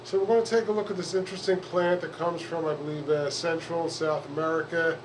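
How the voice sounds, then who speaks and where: Man, slightly high voice, hobbyist demonstrator, halting, thinking aloud, unscripted, background ambient noise. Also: fairly even intonation. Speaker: bonsai hobbyist host. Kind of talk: unscripted hands-on plant demonstration.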